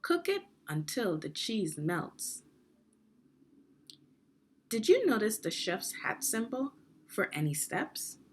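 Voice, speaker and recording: A woman narrating a children's read-along book in a deliberate, instructional way, posing questions to young listeners. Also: medium pitch, wildly swooping pitch, a little hiss or hum.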